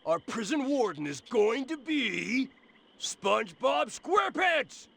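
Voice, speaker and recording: Gravelly, high-pitched hyped-up tough guy. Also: sing-song, slow, fairly bright tone, full character voice. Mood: boastful. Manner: barking, boastful, hyped-up. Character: aggressive, pumped-up drill-instructor tough guy. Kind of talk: cartoon video game voice acting.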